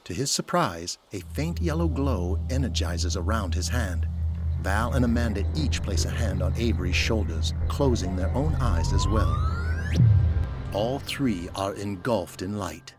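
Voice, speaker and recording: Low-voiced male narrator over loud music. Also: huge pitch swings, a little slow. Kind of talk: scripted dramatic narration.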